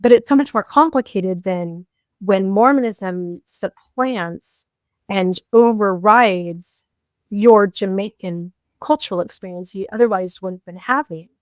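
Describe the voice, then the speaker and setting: A woman's voice: mid-range voice, measured pace, no echo. A woman in her fifties hosting a podcast, speaking earnestly and explaining her thoughts in casual conversation. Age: 50s. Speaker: podcast host.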